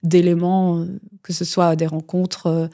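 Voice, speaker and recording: A woman's voice: lowish voice, little pitch movement, a little slow, dark tone. A woman, a guest musician talking in a casual interview, rambling with many fillers and restarts. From Belgium.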